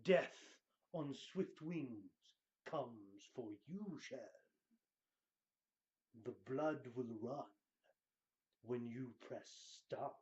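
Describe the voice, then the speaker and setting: Guy doing a slow over-the-top dramatic reading, kinda mellow tone, slightly muffled lo-fi sound. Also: medium pitch. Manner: hammy, grandiose, drawn-out delivery with dramatic pauses. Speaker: show host giving dramatic readings.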